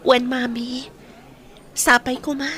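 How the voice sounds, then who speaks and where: A woman's voice: sits high, little pitch movement, takes it slowly, pushed and bright, exaggerated voice. A woman acting in an Ilocano radio drama as a wounded young daughter. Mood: hurt, indignant. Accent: Filipino, Ilocano.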